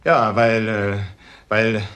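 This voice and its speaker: An actor playing a guarded, evasive male ex-convict in a crime drama, with a husky voice and hesitant, curt answers. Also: fairly low pitch, little pitch movement, extremely slow, fairly bright tone. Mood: nervous.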